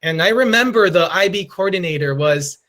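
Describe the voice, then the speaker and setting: Man in his twenties, peruvian spanish accent, native spanish speaker, high voice, earnest motivational talk, animated storytelling. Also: normal speed. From Peru. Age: 20s. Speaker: speaker giving a lightning talk.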